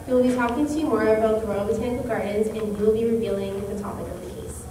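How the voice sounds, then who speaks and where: Female event host, prepared speech, slightly high voice, very reverberant space. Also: fast talker. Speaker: event host introducing the speaker. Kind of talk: prepared opening ceremony speech. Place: indoor event venue. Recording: very echoey hall, distant mic, clear noise.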